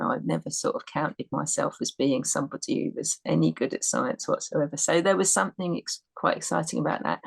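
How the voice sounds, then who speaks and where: A woman's voice: on the low side, creaky, no echo. A woman who took part in a citizen science project, talking casually in a webinar, hesitant with restarts.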